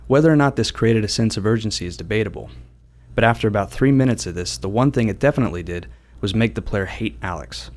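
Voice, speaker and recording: A man's voice: lowish voice, lively intonation, fast talker. A man who works as a game developer, delivering prepared commentary on design decisions. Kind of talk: scripted developer commentary narration.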